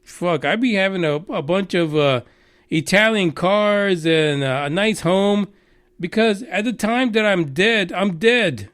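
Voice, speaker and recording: A man's voice: high voice, lively intonation, a little slow, fairly bright tone. A Texan man hosting a radio news show, rambling and mocking with plenty of swearing and filler words. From Texas. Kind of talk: profane rambling news commentary.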